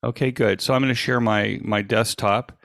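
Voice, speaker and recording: A man in his sixties with an American accent gives an informal online presentation in a halting, conversational, self-deprecating way. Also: medium pitch, fairly even intonation, vocal fry, fairly bright tone, no echo, clean studio sound. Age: 60s. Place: indoors at a desk, on a video call.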